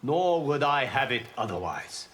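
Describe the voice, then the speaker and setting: Composed god over loud noise. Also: male voice, mid-range voice, big pitch swings, unhurried. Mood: solemn. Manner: formal, gracious, composed. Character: benevolent, formal male god and guardian. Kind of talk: video game character acting.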